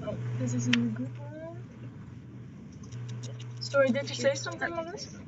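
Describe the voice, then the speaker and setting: A woman's voice: sits high, normal speed. Hesitant woman hosting an online event. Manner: hesitant, full of fillers. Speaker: event organizer and moderator. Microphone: video call. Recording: slightly dull online call audio with faint noise.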